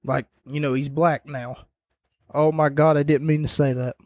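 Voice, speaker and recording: A man hosting a podcast, casually recapping wrestling matches in a rambling way with sarcastic asides, his voice starting to go hoarse. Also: on the high side, little pitch movement, moderate pace, a little mellow, dry recording, studio quality.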